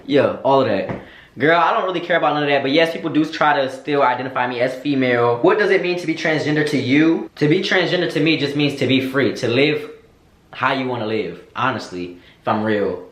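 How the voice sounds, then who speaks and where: A man's voice: mid-range voice, a little fast, a bit bright. Sassy young man in an echoey room. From United States. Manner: sassy, candid, slangy, addresses viewers as girl. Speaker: vlogger. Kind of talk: casual Q&A chat to camera.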